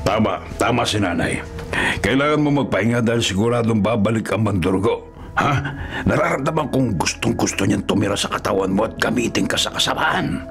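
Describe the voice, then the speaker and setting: Gravelly, solemn Filipino monster fighter. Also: male voice, fairly low pitch, fairly quick. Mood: grave, instructive. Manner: solemn, commanding, instructive. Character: grave, protective man who battles a bloodsucking monster. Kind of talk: radio horror drama acting. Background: dramatic music.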